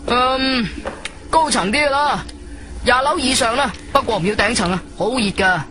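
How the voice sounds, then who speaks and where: A man's voice: extremely high pitch, moderate pace, sharp tone. An actor playing an eager, fussy male home buyer in a Cantonese radio drama, musing aloud to himself. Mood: particular. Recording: music bed behind studio voice.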